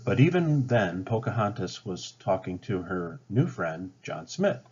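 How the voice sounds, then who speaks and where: A man's voice: sits low, sing-song, a little slow, darkish tone, husky. A man reading a children's story aloud as a storybook narrator. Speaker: storybook reader. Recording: dull lo-fi home recording.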